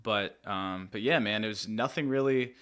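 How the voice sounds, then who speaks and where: A man's voice: lowish voice, moderate pace, fairly bright tone, clear, resonant tone. A young man from Pennsylvania, a musician guest in a podcast studio, talking in a laid-back, rambling way full of "like" and "dude". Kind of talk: casual interview chat.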